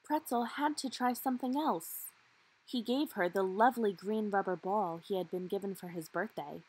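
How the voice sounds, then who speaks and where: A woman's voice: medium pitch, moderate pace, a little mellow, husky, poor audio. A woman reading a children's storybook aloud expressively, with dramatic emphasis on key words. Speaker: storybook reader.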